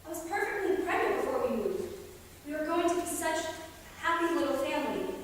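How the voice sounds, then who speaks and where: A woman's voice: sits high, lively intonation, fairly quick. A woman playing an anxious, frustrated young married woman in a staged play reading, with earnest, emotional delivery. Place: theatre stage, distant microphone. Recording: very echoey hall from far off, noisy.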